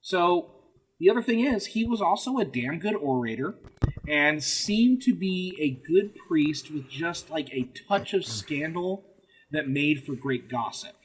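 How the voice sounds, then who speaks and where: A man's voice: a little high, expressive, a little slow, a little hiss or hum, low-quality recording. A man hosting a casual comedy history podcast, explaining things in a wry, sweary way. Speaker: podcast host leading the episode.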